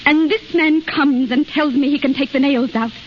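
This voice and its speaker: A woman's voice: high voice, normal speed, close to an everyday voice. A woman acting a proud, anguished young daughter, intense and earnest in a 1930s radio drama. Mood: anguished, impassioned. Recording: muffled, noisy 1930s radio recording.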